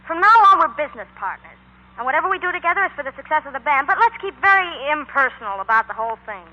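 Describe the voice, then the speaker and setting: A woman's voice: sits high, very animated, very fast. An actress playing a frank, practical teenage girl in 1940s radio style. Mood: firm, scolding. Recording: muffled 1940s radio recording, music behind.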